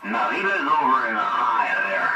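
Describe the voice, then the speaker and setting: A man's voice: mid-range voice, very animated, moderate pace, noisy background. A man chatting easily over CB radio, folksy and joking. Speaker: CB radio operator. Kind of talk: casual radio chat. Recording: distant echoey CB radio with music behind.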